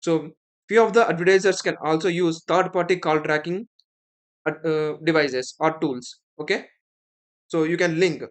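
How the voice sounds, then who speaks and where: Higher-voiced Indian man teaching. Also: moderate pace. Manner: explanatory, checks in with "right?" and "okay". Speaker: instructor. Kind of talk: step-by-step screen tutorial.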